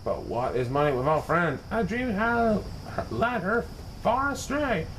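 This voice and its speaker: A man giving a theatrical, expressive narration of a rhyming children's story. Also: sits high, measured pace, a little hiss or hum. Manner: expressive, dramatic. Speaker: storybook reader.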